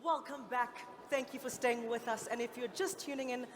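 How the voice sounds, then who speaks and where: A woman's voice: on the high side, steady tone, normal speed, a crowd in the background. A woman co-hosting an awards broadcast, presenting in a polished way to the audience and viewers. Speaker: awards ceremony co-host. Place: large event hall, on stage. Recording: echoey hall, noticeable background noise.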